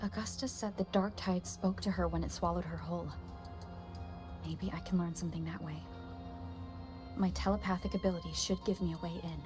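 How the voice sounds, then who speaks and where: A woman plays a determined young wanderer in a fantasy game. Her voice sits on the low side, earnest and pondering. Music is clearly audible underneath. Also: steady tone, a little fast, pauses often, natural voice. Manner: serious, pondering. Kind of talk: dramatic game narration and dialogue.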